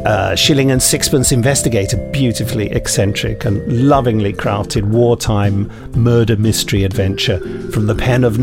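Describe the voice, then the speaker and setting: British bloke podcast host, bit of a cold, creaky voice, dry self-deprecating chat over music. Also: male voice, medium pitch. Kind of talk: casual banter. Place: garden shed, door open. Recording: music bed under the voice.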